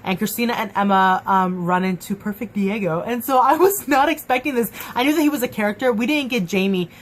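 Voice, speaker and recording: A woman's voice: mid-range voice, brisk, slight background noise. Gushing young woman reviewing a book. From Mexican and Cuban heritage. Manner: gushing, chatty, lots of fillers and restarts. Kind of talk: casual book review vlog.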